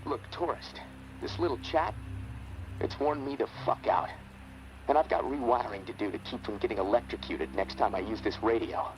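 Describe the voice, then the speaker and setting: An actor playing a guilt-haunted, weary American soldier in a video game, halting and foul-mouthed as he confides. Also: medium pitch, faint music behind, natural voice, poor audio. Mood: weary, resigned. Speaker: survivor confiding in a friend over radio. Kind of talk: dramatic video game acting.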